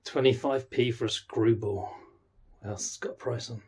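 A man's voice: mid-range voice, steady tone, normal speed, a little hiss or hum. Chatty man touring an old van. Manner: curious, chatty, thinking aloud. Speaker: vlogger restoring a classic van. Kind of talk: casual walkaround commentary. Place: inside a small old van in a workshop.

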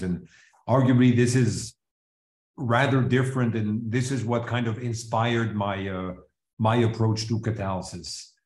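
A man from Switzerland who grew up with French and English delivers an academic lecture at a middle pitch. He explains in a measured way, restarting now and then, and his voice comes over a video call with plenty of room echo. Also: darkish tone. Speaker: seminar speaker, chemist. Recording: roomy echo, live online seminar.

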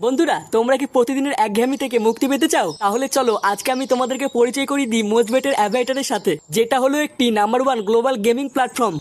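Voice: medium pitch, fairly even intonation, rapid-fire, few pauses, slight background noise. A channel creator reading a scripted promotional spot. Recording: music bed behind the voice.

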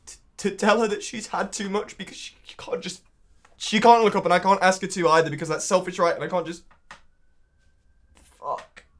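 An actor playing a bitter, self-loathing man in a film monologue, speaking haltingly and searchingly, swearing and repeating his words. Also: lowish voice, steady tone. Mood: anguished.

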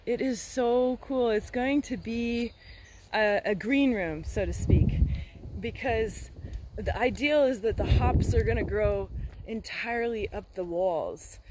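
A middle-aged woman with an American accent gives a casual, meandering garden tour, speaking hesitantly into a handheld phone outdoors. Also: mid-range voice. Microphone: handheld phone at arm's length. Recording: clear outdoor background noise.

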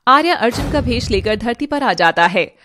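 A woman's voice: on the high side, animated, moderate pace, background noise. A woman narrator reading a scripted story in a dramatic storytelling style.

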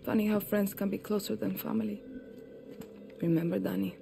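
An actress playing a steely, idealistic young guerrilla leader in a video game cutscene, terse and commanding. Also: female voice, mid-range voice, steady tone, a little fast, gravelly, over music. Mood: resolute, persuasive. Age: young adult.

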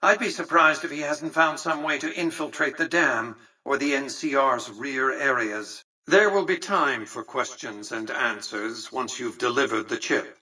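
Aging American magnate, game villain-mastermind type: condescending, calculating, precise, mid-pitched with a sharp, forceful tone. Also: male voice, husky, recorded from a distance, exaggerated voice, low-quality recording. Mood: measured, calculating. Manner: measured, pompous, condescending, precise diction. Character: cold, imperious, calculating old male tycoon and casino magnate. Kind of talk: scripted video game dialogue.

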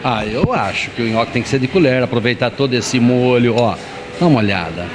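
Brazilian man, middling pitch, casual guest. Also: lively intonation, brisk, noisy background. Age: middle-aged. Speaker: restaurant owner, interview guest. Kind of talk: casual chat. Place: restaurant dining room, indoors. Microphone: shared handheld reporter microphone.

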